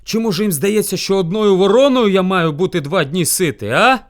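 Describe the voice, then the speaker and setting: A man's voice: sits high, lively intonation, normal speed, a bit bright, voice pushed up. A man voicing a furious, hungry bear who bullies and threatens as lord of the forest in a folk tale. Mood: angry, hungry. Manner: roaring, threatening, bellowing demands. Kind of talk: animated folk tale storytelling.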